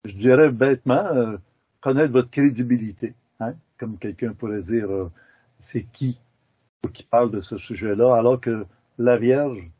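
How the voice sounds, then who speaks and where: A man's voice: mid-range voice, slightly rough, no room sound. A middle-aged male host, interviewing his guest in a chatty way with leading questions and friendly asides. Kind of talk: casual interview. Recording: dull lo-fi dry studio audio.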